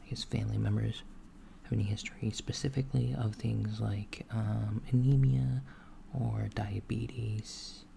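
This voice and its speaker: A man voicing a calm alien doctor in a soft-spoken, half-whispered ASMR roleplay, gently asking a human patient clinical questions. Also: lowish voice, unhurried, gravelly, slight background noise. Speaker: ASMR creator.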